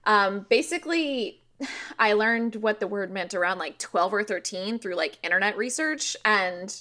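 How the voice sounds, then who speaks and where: Female interview guest from rural Missouri, informal explanatory talk, slightly hesitant, average-pitched voice. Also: unhurried, pushed and bright. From rural Missouri, United States. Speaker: podcast guest.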